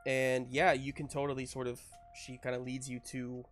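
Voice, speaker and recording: Easygoing male game master, casual and halting. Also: medium pitch, completely flat delivery, soft background music. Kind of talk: improvised tabletop game narration and chat.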